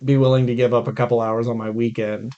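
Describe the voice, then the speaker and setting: A man's voice: mid-range voice, level tone, fast talker, mellow, dull audio. A man co-hosting a podcast, chatting informally about movies and swearing now and then. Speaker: podcast co-host. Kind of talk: casual chat about movies.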